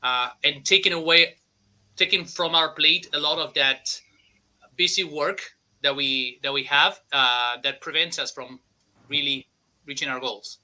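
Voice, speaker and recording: A man from Argentina speaks with a very bright, forceful tone and a slightly high pitch for a man. He introduces himself to a panel over a video call, often pausing and restarting phrases. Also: fairly even intonation. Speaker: HR consultant, panelist.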